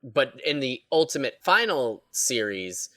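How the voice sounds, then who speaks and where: Man, medium pitch, dry sound, casual panel chat, emphatic, repeats phrases. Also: very animated, measured pace, sharp tone, no echo. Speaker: audio editor on the production.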